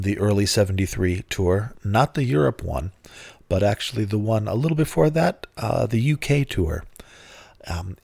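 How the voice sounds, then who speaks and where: A middle-aged man with a lowish voice gone hoarse from bronchitis, talking into a close mic in a dry, dead room. He rambles casually, grumbles and swears with self-mocking humor, like a tired host on a solo podcast. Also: dry recording.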